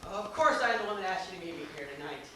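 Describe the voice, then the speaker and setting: Distant, echoey, pompous older gentleman man acting. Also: high voice, animated, fast. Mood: pompous, unruffled. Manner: pompous, precise, formal. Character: smug, unruffled older gentleman, rival suitor. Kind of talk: amateur one-act comedy stage acting. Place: theater stage, recorded from far off. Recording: very echoey, distant, noisy stage video.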